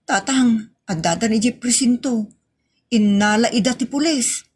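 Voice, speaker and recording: A woman's voice: on the high side, unhurried, a little mellow, slightly rough. A woman voicing a worried young daughter in a comedic audio drama, pleading anxiously with her father.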